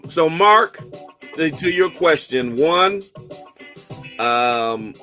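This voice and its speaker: A man's voice: on the high side, animated, pushed and bright, soft background music. A man hosting a casual radio talk show, joking with his co-host and reading church announcements in a loose, playful way. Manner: loose, joking, drawn-out sign-offs.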